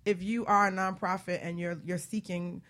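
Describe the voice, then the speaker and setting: A woman hosting a radio talk show in a casual, chatty, informal way with animated banter. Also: on the low side, normal speed.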